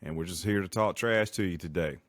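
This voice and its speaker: Deep-voiced man in his forties. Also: fairly quick, fairly bright tone, no room sound. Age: 40s. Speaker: podcast co-host. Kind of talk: casual chat.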